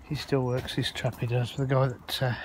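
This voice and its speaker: Man, medium pitch, informal rambling vlog chat, noisy outdoor phone recording. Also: flat delivery, moderate pace. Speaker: allotment vlogger. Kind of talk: casual walk-around vlog narration. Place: outdoors on an allotment, walking. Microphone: handheld phone held while filming.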